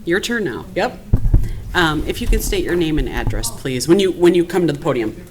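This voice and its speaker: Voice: medium pitch, sing-song, moderate pace, slight background noise. The chair of a city meeting, politely opening the public portion and inviting comments. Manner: polite, procedural. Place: city council chamber.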